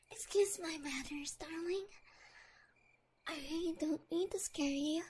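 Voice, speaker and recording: A woman voicing an obsessive, possessive young woman in an audio roleplay, talking in soft whispers with giggles. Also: extremely high pitch, a little hiss or hum.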